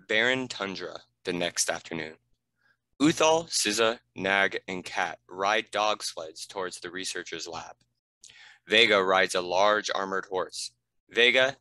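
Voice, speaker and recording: Low, bright-voiced male narrator. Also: no room sound, low-quality recording. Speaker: narrator reading stage directions. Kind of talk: scripted narration.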